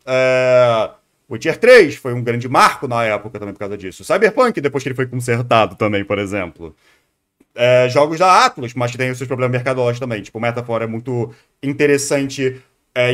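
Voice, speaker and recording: A man's voice: mid-range voice, fairly quick, a bit bright. A Brazilian man giving casual, opinionated live commentary as a streamer, explaining his views informally with slang. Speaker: streamer reacting to a video.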